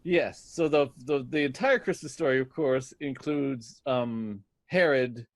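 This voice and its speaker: A middle-aged American man telling stories about his life in a reflective interview, speaking in a deliberate, halting way. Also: mid-range voice, dry recording. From United States, Detroit area. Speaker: guest, stage actor.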